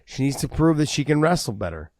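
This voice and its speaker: Male podcast co-host, mid-pitched, animated and wordy, dry studio mic. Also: fast, dry recording. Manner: animated, repeats words as he builds a point. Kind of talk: casual MMA podcast chat.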